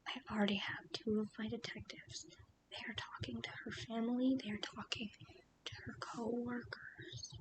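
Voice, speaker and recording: A woman voicing a calm, patient female police detective, whispering softly and asking careful, soothing questions in an ASMR roleplay. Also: moderate pace, natural voice, low-quality recording. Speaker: interrogator. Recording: steady low rumbling noise.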